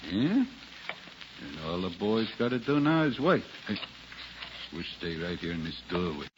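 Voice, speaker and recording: Low-voiced rough gangster boss. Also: male voice, big pitch swings, measured pace. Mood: suspicious, tense. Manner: blunt, folksy tough-guy talk with poor grammar. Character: rough, suspicious male gangster boss, uneducated. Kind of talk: 1940s radio crime drama acting. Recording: muffled, noisy old radio recording.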